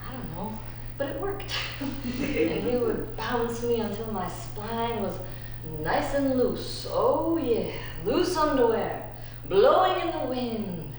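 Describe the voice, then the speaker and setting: A woman from Czechoslovakia performing a theatrical solo monologue live on stage, expressive, laughing and pausing dramatically. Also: fairly high pitch. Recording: echoey stage from far off, noisy.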